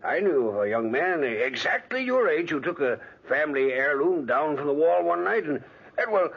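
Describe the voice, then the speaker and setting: A man's voice: fairly high pitch, sing-song, moderate pace, gravelly, slightly rough, full character voice. An actor playing a chatty older male visitor who rambles through superstitious stories in an old radio drama. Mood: storytelling. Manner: rambling, chuckling storyteller. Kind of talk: 1940s radio acting. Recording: dull lo-fi old radio recording.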